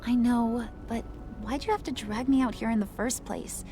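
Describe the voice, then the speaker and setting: A woman's voice: fairly high pitch, steady tone, moderate pace, lightly acted. A woman playing an impatient, rebellious teenage daughter with a sullen, complaining manner in a game cutscene. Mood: sulky, frustrated. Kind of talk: dramatic game cutscene acting. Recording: music behind the dialogue.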